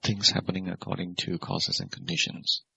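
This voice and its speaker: A man teaching guided meditation as a Buddhist monk, speaking in measured, simple phrases and gentle questions. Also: low voice, flat delivery, gravelly, slightly rough. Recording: dull, lo-fi recording.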